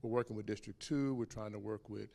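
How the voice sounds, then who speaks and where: A man's voice: on the low side, fairly even intonation, fairly quick, creaky, mellow, in a large hall. A man from South Carolina, an HR director speaking plainly at a public presentation.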